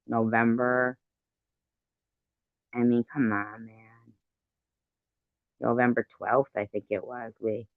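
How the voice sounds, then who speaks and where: A man's voice: mid-range voice, no echo. A man hosting a casual commentary, reacting in a blunt, indignant way. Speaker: host of a commentary stream. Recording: narrow tinny phone-like sound, dry.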